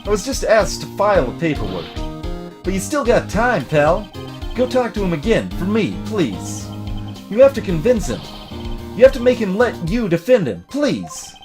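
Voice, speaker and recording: A man voicing a blunt, excitable, folksy middle-aged police detective in a video game. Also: high-pitched, moderate pace, music in the background. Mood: pleading. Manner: folksy, blunt, keeps saying pal. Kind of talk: voiced video game dialogue.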